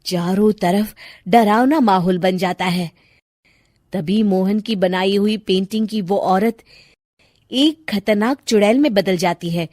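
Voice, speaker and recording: A woman's voice: mid-range voice, darkish tone, no room sound, clean studio sound. A woman giving scripted narration for a cartoon story as the storyteller.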